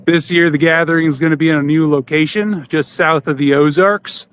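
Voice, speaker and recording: A man's voice: on the high side, steady tone, a little fast, fairly bright tone, smooth. A man hosting a podcast in casual chat, talking in a loose, informal, rambling way. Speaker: podcast host.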